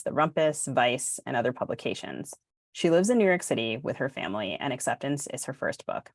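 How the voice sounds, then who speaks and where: Very low-voiced American woman hosting a podcast. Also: no echo, clean studio sound. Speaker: podcast host. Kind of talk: podcast interview and scripted introduction.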